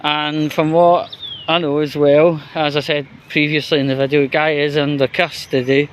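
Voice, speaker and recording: A Scottish man giving unscripted solo vlog commentary in a halting, hesitant, rambling way. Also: on the high side, level tone, a little hiss or hum. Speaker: vlogger.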